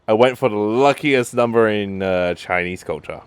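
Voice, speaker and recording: A woman's voice: rumbling low, normal speed, clean tone. A woman giving casual let's play commentary, musing aloud with short murmured reactions. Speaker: gamer reacting to a game.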